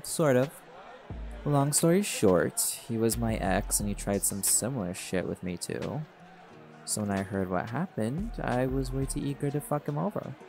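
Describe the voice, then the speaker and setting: A man's voice: medium pitch, expressive, moderate pace, vocal fry, darkish tone. An actor voicing a laid-back, teasing male jaguar shifter in an audio roleplay, blunt and flirtatious, with music playing behind.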